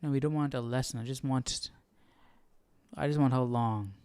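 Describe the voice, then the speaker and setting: Man, smooth clear voice, close dry sound, informal Bible study host, reads then paraphrases offhand. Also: mid-range voice, steady tone, moderate pace, dry recording. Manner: informal, thinking out loud, paraphrasing in slang. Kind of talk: casual read-aloud and commentary.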